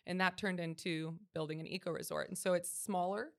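Gravelly low-voiced woman guest. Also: steady tone. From Colombia. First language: Spanish. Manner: friendly, measured, frequent "um" and "so". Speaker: real estate agent, guest. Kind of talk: interview answers. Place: studio.

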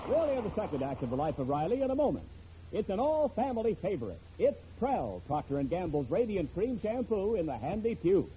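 A man's voice: high-pitched, big pitch swings, brisk, dark tone. A man announcing and reading an advertisement in 1940s radio style. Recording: muffled old radio broadcast with hiss.

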